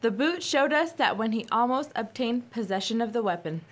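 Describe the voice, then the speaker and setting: A loyal, resolute adventuring woman played by an actress in vintage radio-serial style. Her voice is fairly high and her delivery earnest. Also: animated, moderate pace. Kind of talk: old-time radio serial acting.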